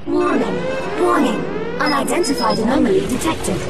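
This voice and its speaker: Ship computer, clipped, formal, high-pitched, alert announcements, loud score, video game. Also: female voice, very animated, normal speed, loud music behind the voice.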